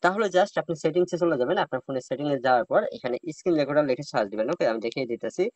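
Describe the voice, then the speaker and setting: A man's voice: mid-range voice, a little mellow, no room sound, rough recording. A man giving an informal step-by-step phone tutorial as a host, addressing his viewers directly. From Bangladesh.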